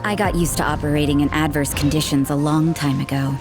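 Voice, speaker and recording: Cool cynical church sister, lowish dry voice, game music behind. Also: female voice, fairly even intonation, fairly quick. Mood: aloof. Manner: dry, aloof, sardonic.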